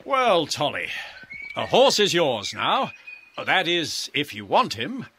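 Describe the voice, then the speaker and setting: An actor playing a firm, fair-minded father and employer, brisk and authoritative, in a children's audio drama. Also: fairly high pitch, wildly swooping pitch, pushed and bright, slightly rough, heavily acted. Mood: kindly. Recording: faint background noise on cassette transfer.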